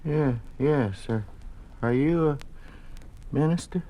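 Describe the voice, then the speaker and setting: A dying farmer speaks very slowly in a weak, faltering voice. He is older, confused and pleading, in the halting style of 1950s radio drama. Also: fairly high pitch, dark tone, poor audio.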